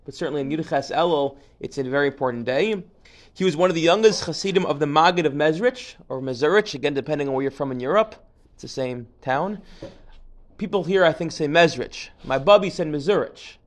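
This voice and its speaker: A man's voice: on the high side, a little fast. A man giving an informal history lecture, chatty and digressive, often restarting sentences and dropping joking asides.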